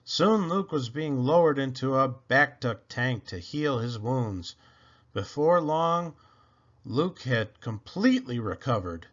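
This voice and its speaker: Male storybook reader, unhurried, dramatic stresses, slightly muffled lo-fi recording. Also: medium pitch, expressive, fairly bright tone. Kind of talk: scripted read-aloud storytelling. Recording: dull, lo-fi sound.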